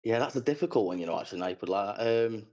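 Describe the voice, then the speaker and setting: A man calling into a live coaching session, hesitant and chatty with many pauses and fillers. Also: fairly low pitch, expressive, a little mellow. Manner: hesitant, many ums, laughs easily. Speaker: caller. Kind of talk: casual chat on a call. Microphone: remote call connection. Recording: dull, muffled call audio.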